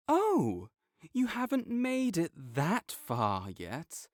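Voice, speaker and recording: A smug young academic rival, voiced by a performer teases and talks down with sarcastic, half-flustered condescension. The delivery is slow and drawn out, with pitch swinging widely from line to line. The voice sounds dry and close, with no room around it. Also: wildly swooping pitch, dry recording, exaggerated voice. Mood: defensive teasing. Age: young adult. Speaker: audio roleplay performer. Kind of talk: scripted audio roleplay acting.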